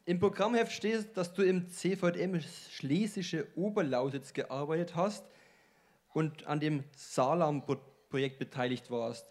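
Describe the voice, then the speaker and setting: The man with the Franconian accent and higher voice you'd hear introducing guest speakers on stage at a summer camp. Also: measured pace, a little mellow. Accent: Franconian German. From Franconia, Germany. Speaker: event host interviewing speakers on stage. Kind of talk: light-hearted stage interview.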